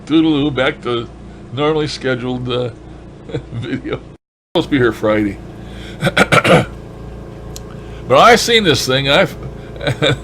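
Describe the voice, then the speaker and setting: A man's voice: mid-range voice, expressive, takes it slowly, pauses often, a bit bright, slight background noise. A man casually demonstrating a gadget as a hobbyist vlogger, talking in a folksy, colloquial and enthusiastic way. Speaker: ham radio hobbyist vlogger.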